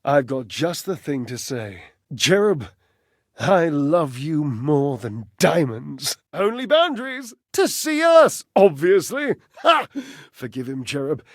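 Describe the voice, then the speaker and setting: A man playing a flamboyant, flirtatious, theatrical living appliance in a dating game, gushing with pet names and drawn-out words. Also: a little high, sing-song, no room sound, studio quality, exaggerated voice. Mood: affectionate. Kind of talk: dating-game character voice acting.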